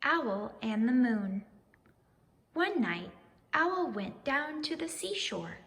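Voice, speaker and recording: A woman narrates a children's storybook with expressive, swooping intonation. Her delivery is slow and measured, with a creaky edge. Long reverb trails the voice. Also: sits high. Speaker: storybook reader. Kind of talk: expressive children's read-aloud.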